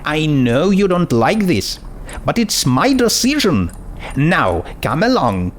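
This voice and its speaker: A smug female pony mage from a fantasy storybook, acted out by a man, pitched well up and swooping as she explains herself. She is assured and dismissive, taking her time over the words. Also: very animated, slow pace, slight background noise.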